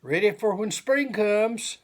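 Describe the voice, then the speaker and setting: A man voicing a proud, encouraging father bear in a children's storybook, coaxing gently and repeating his reassurances. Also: high-pitched, slow pace, pitched above the performer's own voice. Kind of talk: children's storybook read-aloud.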